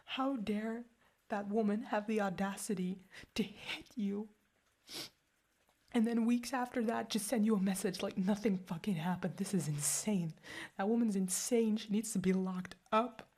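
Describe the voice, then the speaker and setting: A woman's voice: mid-range voice, moderate pace, darkish tone, close to an everyday voice. A young woman playing a protective girlfriend who is furious and hurt, mostly whispering with stumbling, intense outbursts in an ASMR roleplay. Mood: angry, shocked.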